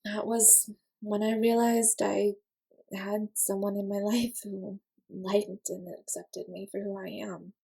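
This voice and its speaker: A woman's voice: mid-range voice, little pitch movement, slow pace, vocal fry, dark tone, breathy edge, heavily acted, poor audio. A woman playing an awkward, sarcastic young friend with secret feelings in a roleplay audio, speaking casually, hesitantly and with self-deprecating wit. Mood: tender, hesitant. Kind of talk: scripted intimate roleplay audio, casual confession.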